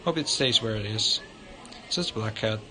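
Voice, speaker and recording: A man's voice: on the low side, normal speed, a crowd in the background. A male livestreamer reading visual novel narration aloud in an informal way, sometimes stumbling over the words. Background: loud guitar music.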